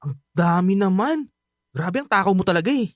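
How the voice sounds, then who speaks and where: A man's voice: high-pitched, animated, moderate pace, no echo, clean studio sound. A man playing a lazy, playful young Filipino student who wheedles and pleads, in radio drama dialogue with a Filipino accent. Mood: exasperated, playful. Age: young adult.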